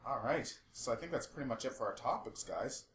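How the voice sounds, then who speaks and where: A man hosts a casual podcast chat in a medium-pitched voice. He talks informally, as if among friends. The room around his voice is noticeably echoey. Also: animated, a little fast, a bit bright, slight background noise. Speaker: podcast host.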